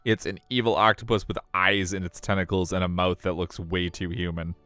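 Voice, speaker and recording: A man's voice: deep voice, level tone, brisk, pushed and bright, clean tone, soft background music. A man streaming a live read-aloud of a visual novel, mixing narration with casual chat.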